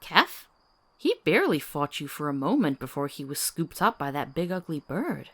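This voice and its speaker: A woman's voice: low-pitched, sing-song, moderate pace, a bit bright, full character voice. A woman playing a principled, capable healer in a fantasy drama, articulate, authoritative and dryly witty. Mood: wry. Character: firm, principled female healer, capable and wry. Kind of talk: fantasy audio drama acting.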